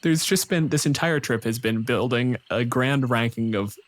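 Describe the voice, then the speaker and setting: A man's voice: mid-range voice, moderate pace. A man improvising narration as the game master of a tabletop fantasy game.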